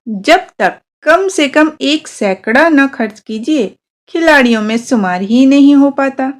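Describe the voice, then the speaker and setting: A woman reading a literary short story aloud as an expressive, measured audiobook narrator. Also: fairly high pitch.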